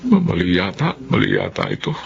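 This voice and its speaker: A man's voice: high-pitched, huge pitch swings, a little fast, gravelly, highs cut off, a little hiss or hum, heavily acted. A man acting an anxious, easily flustered father in a radio drama, speaking hesitantly. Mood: puzzled, uneasy.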